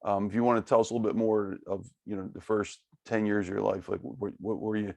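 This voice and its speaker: Gravelly-voiced podcast host asking questions. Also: male voice, on the low side, flat delivery, fast talker, a little mellow, no echo. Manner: friendly, short reactions and follow-up questions. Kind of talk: casual interview.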